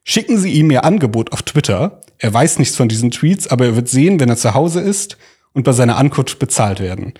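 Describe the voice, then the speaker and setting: A man's voice: mid-range voice, very animated, brisk, a little mellow, breathy edge. A man telling a story as a podcast host in an informal, conversational way. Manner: informal, colloquial. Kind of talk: conversational storytelling, reading out quotes.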